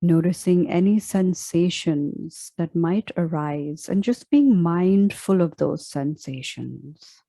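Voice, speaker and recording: A woman leading a guided meditation as a teacher, speaking in a soft, deliberate, instructional way. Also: fairly low pitch, creaky, no room sound, studio quality.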